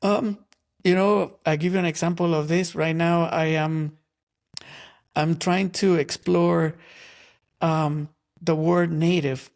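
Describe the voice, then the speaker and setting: A man from Guatemala who is an artist and a guest in an interview. He talks in a slow, reflective way, searching for words with many pauses. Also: on the high side, fairly even intonation, creaky, a little mellow.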